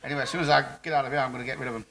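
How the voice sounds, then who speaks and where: A man playing a hapless, excitable, self-pitying English suburban husband in a British TV sitcom. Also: mid-range voice, rapid-fire, vocal fry. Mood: sheepish, pleading. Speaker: sitcom actor. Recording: very noisy old TV sitcom recording.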